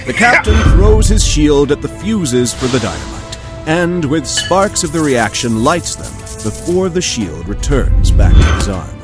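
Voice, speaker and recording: An actor serving as the dramatic storyteller of a scripted superhero audio drama. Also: male voice, on the high side, very animated, measured pace, over music. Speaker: narrator.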